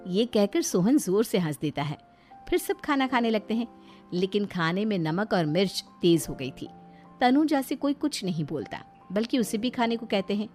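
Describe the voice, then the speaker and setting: Mid-pitched woman telling a story. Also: big pitch swings, normal speed, darkish tone, soft background music. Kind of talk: scripted story narration.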